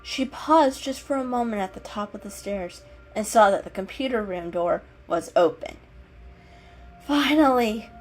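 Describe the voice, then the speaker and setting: A woman's voice: mid-range voice, moderate pace. A woman giving a dramatic storybook narration. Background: music.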